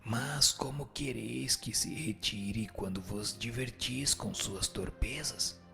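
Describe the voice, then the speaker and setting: A man's voice: medium pitch, slow pace, vocal fry, bright, forceful tone, faint music behind, full character voice. A man playing a solemn spirit instructor in a radio drama, reciting didactic answers in an admonishing, formal way. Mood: solemn, instructive. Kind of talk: dramatized reading of a spiritist text.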